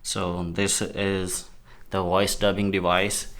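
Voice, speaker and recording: A man giving a casual, somewhat halting product demo as a gadget reviewer. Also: low-pitched, level tone, a bit bright, a little hiss or hum.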